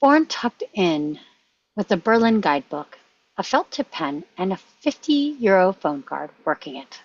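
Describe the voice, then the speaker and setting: A woman's voice: medium pitch, very animated, a little slow, dull audio. A woman reading screenplay stage directions aloud in clipped fragments. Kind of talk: script read-aloud.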